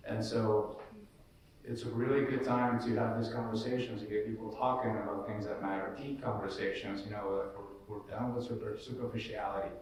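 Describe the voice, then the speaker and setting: A man's voice: fairly low pitch, little pitch movement, brisk, slight background noise. Man from Colombia, echoey distant room. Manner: earnest, rambling, many fillers. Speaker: panelist. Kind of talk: casual panel discussion. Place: large echoey room, live event.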